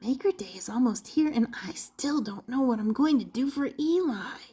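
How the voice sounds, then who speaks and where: A woman's voice: high voice, expressive, normal speed, mellow, slightly rough. A woman voicing an earnest, wistful young male puppet character in a children's storybook, hesitant and unsure of himself. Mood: wistful, musing.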